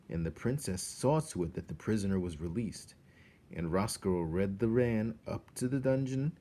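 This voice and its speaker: Mellow, low-voiced man reading a storybook. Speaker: teacher reading a children's novel aloud. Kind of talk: expressive storybook read-aloud.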